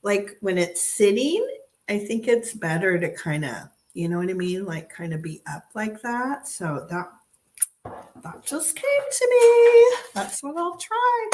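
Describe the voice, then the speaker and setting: A woman chats through a live craft session from her dining room table with a slightly froggy, hoarse throat. She rambles, thinking aloud, full of restarts and little fillers, at an ordinary mid pitch. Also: big pitch swings, measured pace, darkish tone, a little hiss or hum. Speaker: craft channel host. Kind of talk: casual live-stream craft chat.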